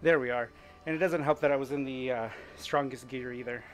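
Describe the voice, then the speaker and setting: A middle-aged man streaming a game while cycling at home, chatting upbeat and thinking out loud. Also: medium pitch, fairly even intonation, brisk, faint music behind, poor audio. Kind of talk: casual gaming commentary while cycling. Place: home room with indoor bike.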